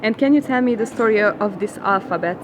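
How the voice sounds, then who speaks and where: A woman's voice: medium pitch, fairly quick, noisy background. A woman interviewing a guest in English, encouraging him with short agreeing sounds.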